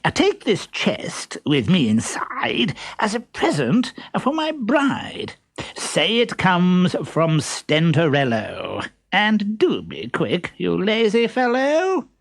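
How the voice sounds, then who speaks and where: A man's voice: sits high, huge pitch swings, takes it slowly, vocal fry, dry recording, studio quality, voice pushed up, exaggerated voice. A man voicing a vain, bossy poet suitor in a storybook, with a theatrical, chuckling delivery that savours every rhyme. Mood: bossy, smug. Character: vain, giggling suitor poet with gap teeth, bossy and smug.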